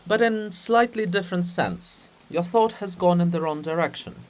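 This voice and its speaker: A man's voice: high-pitched, moderate pace, lightly acted. A man voicing a scheming, fussing male statue of a statesman in a play reading, with a wheedling, explanatory way of talking. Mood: scheming, conspiratorial.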